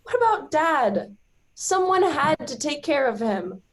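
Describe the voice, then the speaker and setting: A woman's voice: high voice, very animated, measured pace. A young woman playing a guarded, wounded daughter in a naturalistic stage drama, with a terse, blunt way of talking. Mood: bitter, hurt. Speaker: student actor.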